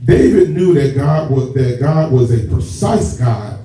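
A man's voice: medium pitch, animated, measured pace, dark tone. A man preaching a sermon as a church elder, conversational and addressing the congregation directly, stretching key words for emphasis. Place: church sanctuary with stage and rows of seats. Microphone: far camera at back of hall. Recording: echoey hall from far off, muffled, noisy.

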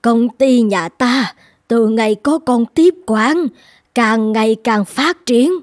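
A woman's voice: a little high, slow. A woman playing a scheming, snobbish middle-aged mother in a cartoon drama, speaking in an emphatic, admonishing way. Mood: proud, pleased. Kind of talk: dubbed cartoon drama acting. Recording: long reverb, music underneath.

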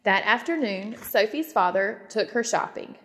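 A woman narrating a children's storybook aloud, expressive and clearly phrased. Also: medium pitch, a little slow, long reverb tail. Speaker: storybook reader.

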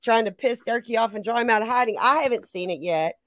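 Higher-voiced woman chatting casually on a livestream. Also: fast, no room sound, studio quality. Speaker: livestream co-host. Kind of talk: casual chat, reading and answering live chat. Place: online stream call.

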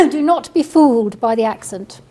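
A woman's voice: high voice, lively intonation, measured pace, darkish tone, a little hiss or hum. A middle-aged woman with a Kenyan accent, a barrister telling her story on a panel in a seminar room, wry and self-deprecating. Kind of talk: panel conversation.

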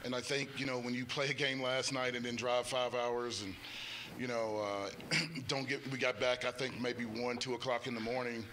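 A man's voice: mid-range voice, level tone, normal speed, sharp tone. A middle-aged man, a basketball coach, giving casual post-game answers into a handheld microphone indoors. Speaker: college basketball head coach. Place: indoors before a press backdrop. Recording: faint background noise.

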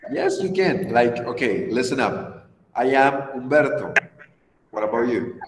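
A man's voice: medium pitch, big pitch swings, a little slow, noisy background. Mexican-accented man in his 30s, patient English teacher on a video call, echoey room with long reverb. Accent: Mexican Spanish. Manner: patient, encouraging, switches to Spanish to explain. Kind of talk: online English class.

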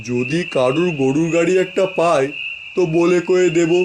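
A man's voice: fairly high pitch, measured pace, background noise, natural voice. A man acting a helpful railway station master in a horror cartoon story, polite, practical and conversational.

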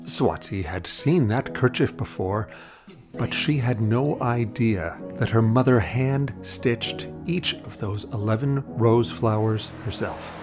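A man's voice: medium pitch, takes it slowly. Male storyteller over prominent music. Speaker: narrator. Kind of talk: scripted story narration.

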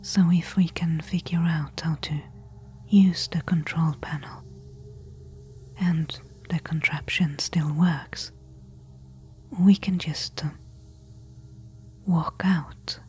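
A woman playing a careful, eager temple explorer in a soft-spoken ASMR audio roleplay, hushed and deliberate. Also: deep voice, steady tone, slight background noise. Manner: hushed, slow, deliberate whispering.

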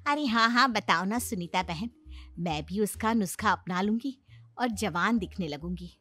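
A woman playing a nosy, excitable middle-aged neighbour in a moral-story cartoon, gossipy and full of exclamations. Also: medium pitch, normal speed. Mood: eager.

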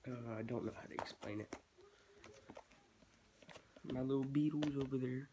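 A man's voice: mid-range voice, moderate pace, dark tone. A teenage boy talking casually about his hobby while he works, stuffy with a cold and rambling as he thinks aloud. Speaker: reptile-keeping vlogger. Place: small bedroom, indoors. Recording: noisy home webcam recording.

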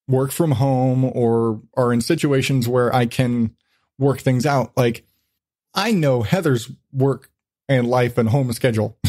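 A man's voice: medium pitch, darkish tone, no room sound, clean studio sound. A man hosting a casual podcast chat, rambling along and swearing freely. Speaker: podcast host.

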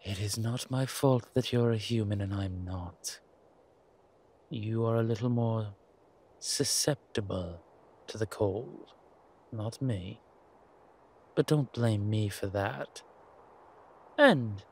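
Man playing a slow, low-voiced, suave ancient vampire. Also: heavily acted. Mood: wry, grumbling. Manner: measured, wry, theatrical, with deliberate pauses. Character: centuries-old male vampire, suave, wry, protective, comfort-loving. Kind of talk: scripted roleplay audio drama addressed to the listener. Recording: clear background ambience noise.

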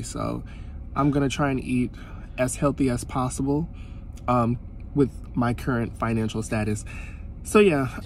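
A man's voice: mid-range voice, gravelly. A young man chatting casually to his phone camera from inside a car, laid-back and self-mocking. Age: young adult. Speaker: vlogger. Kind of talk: casual vlog chat. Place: parked car interior. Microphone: phone held at arm's length. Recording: noisy car interior.

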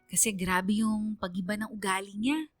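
An actress as a young woman student tells her own story in a radio drama, in a mid-pitched voice. Soft instrumental music plays behind her first-person narration. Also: fairly even intonation, normal speed, close to an everyday voice. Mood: hurt, puzzled. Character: young female student recounting a lesson from friendship and love.

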